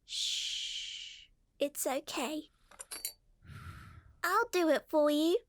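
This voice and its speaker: A woman's voice: sits high, extremely slow. A woman voicing a sinister living doll, a sweetly coaxing, murderous little girl in a horror radio drama. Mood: urging, secretive. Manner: sing-song, coaxing, giggling.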